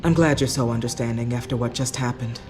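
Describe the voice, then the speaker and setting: Authoritative female asylum doctor for a game: measured, firm, composed, music underneath. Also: very deep voice. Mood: reassuring, professional. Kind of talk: video game voice acting. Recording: music behind the voice.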